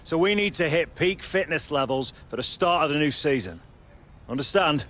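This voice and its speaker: A man's voice: on the high side, animated, moderate pace, fairly bright tone. A man playing a demanding football team manager in a video game cutscene, speaking firmly and with command. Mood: stern. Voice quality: firm, commanding.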